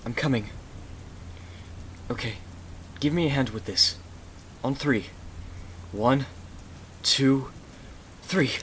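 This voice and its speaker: A man's voice: medium pitch, slow, long pauses, a bit bright. A man playing a gallant, protective husband in an ASMR roleplay, speaking in a soothing, courteous, old-fashioned way. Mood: urgent, concerned. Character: Jonathan, gallant protective husband, gentle rescuer. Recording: clearly noisy, rain behind the voice. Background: rain.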